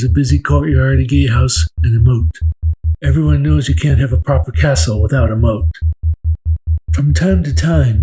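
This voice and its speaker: A man giving calm, gentle, scripted ASMR narration of a fantasy story. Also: mid-range voice, poor audio. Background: soft electronic ambient music.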